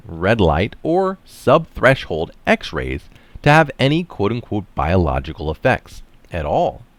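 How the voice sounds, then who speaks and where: A man reading a science article aloud with deliberate, emphatic phrasing, close to the microphone. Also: deep voice, no room sound. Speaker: article reader and commentator.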